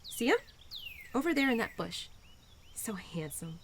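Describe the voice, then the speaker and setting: A woman plays a cheerful nature spirit, the embodiment of Spring, speaking to a dear friend in an audio roleplay. Her voice is fairly high and very animated, bubbly and tender. A light layer of outdoor noise sits behind her. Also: unhurried. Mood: calm, playful. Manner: bubbly, giggly, gentle, affectionate, easily flustered. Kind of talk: audio roleplay addressed to the listener.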